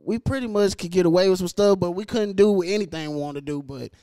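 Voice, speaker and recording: High, smooth-voiced laid-back man host. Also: fast talker, dark tone, no echo, studio quality. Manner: laid-back, slangy, teasing. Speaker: podcast host. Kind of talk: casual interview chat. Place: barbershop.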